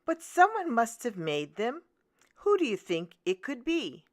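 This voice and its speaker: A woman voicing a kindly, grateful shoemaker in a children's fairy tale, in an earnest, measured way. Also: on the high side, wildly swooping pitch, pitched above the performer's own voice. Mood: puzzled. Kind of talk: children's storybook read-aloud.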